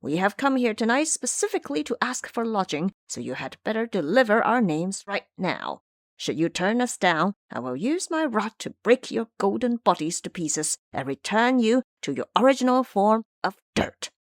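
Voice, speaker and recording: A woman's voice: medium pitch, big pitch swings, moderate pace, dry recording, clean studio sound, voice pushed up, natural voice. A woman voicing an aggressive, boastful monkey warrior monk in an audio drama, threatening and commanding.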